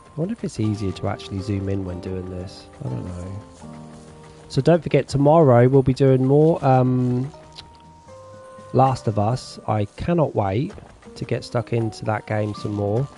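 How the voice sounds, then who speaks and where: A man's voice: mid-range voice, unhurried, faint music behind. A man streaming a farming game, chatting casually with his viewers and reading their messages aloud. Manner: relaxed, chatty, reads chat aloud. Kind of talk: casual chat with viewers while gaming.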